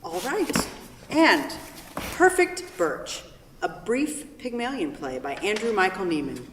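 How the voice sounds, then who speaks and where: A woman's voice: medium pitch, very animated, slow, fairly bright tone, a little hiss or hum. A woman reading scripted stage directions as the narrator of a staged play reading. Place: theatre, recorded from far off. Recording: echoey hall, distant microphone.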